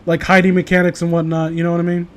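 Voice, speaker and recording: High-pitched man, casual gamer chat. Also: completely flat delivery, rapid-fire. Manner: profane, rambling.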